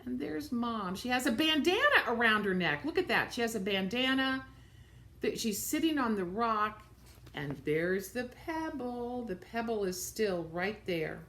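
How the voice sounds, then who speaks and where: A woman's voice: on the high side, animated, a little slow, fairly bright tone, slight background noise. A woman reading a picture book aloud to children, expressively, with simple explanatory asides about the pictures.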